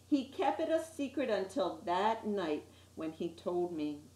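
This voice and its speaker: A woman reading a children's picture book aloud with dramatic, deliberate emphasis on key words. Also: mid-range voice, very animated, dark tone. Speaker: storytime reader. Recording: roomy, echoey sound.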